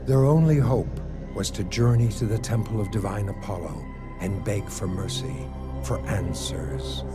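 A man's voice: fairly low pitch, unhurried. A man narrating an epic tale in a grave, dramatic scripted style over music.

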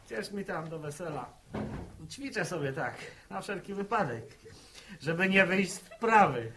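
High-voiced, cheeky young farm son. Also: male voice, lively intonation, unhurried. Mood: teasing. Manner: teasing, playful, mock-formal. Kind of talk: 1960s Polish radio drama acting. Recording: noisy old 1960s radio recording.

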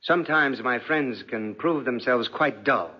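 Casting: a suspicious lordly husband, silkily polite and menacing, husky, in muffled vintage radio drama. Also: male voice, mid-range voice. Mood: veiled menace. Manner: silky, deliberate, insinuating politeness. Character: suave, suspicious, menacing husband, an aristocratic lord. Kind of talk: 1940s radio acting. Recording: dull, muffled 1940s radio recording.

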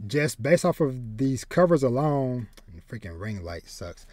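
A man in his thirties chatting casually as a podcast host, haltingly, often repeating words as he goes. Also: medium pitch, very animated, a little mellow, dry recording. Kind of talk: casual chat about manga and anime. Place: small home room with bookshelves.

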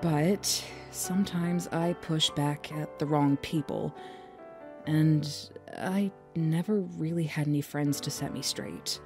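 A woman's voice: deep voice, steady tone, takes it slowly, dark tone. A woman playing a haughty, vain young woman who talks in a sarcastic, cutting way in an audio drama. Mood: remorseful. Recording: faint music behind.

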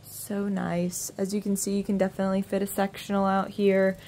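A woman's voice: mid-range voice, completely flat delivery, brisk, darkish tone, a little hiss or hum. A woman real estate agent giving an upbeat, chatty walking tour of a house, filming it herself on a phone. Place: inside and around a house, voice-over while filming.

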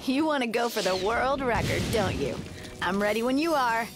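A woman's voice: a little high, lively intonation, moderate pace, background noise. A woman playing a friendly young race hostess in a video game, upbeat and casual. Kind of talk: video game character dialogue. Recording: loud game music behind.

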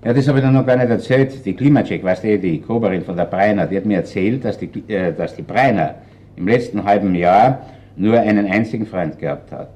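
An actor playing a shrewd, unhurried Viennese chief inspector in a crime radio play, speaking deliberately and wryly with an Austrian accent. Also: male voice, fairly low pitch, dark tone, natural voice. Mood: wry, explaining.

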